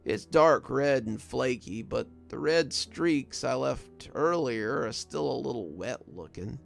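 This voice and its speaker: A man voicing a wounded, delirious prison guard sergeant in a horror story, speaking in a weary, halting, frightened way. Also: medium pitch, lively intonation, vocal fry, faint music behind. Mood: dazed resignation. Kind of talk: first-person horror story narration.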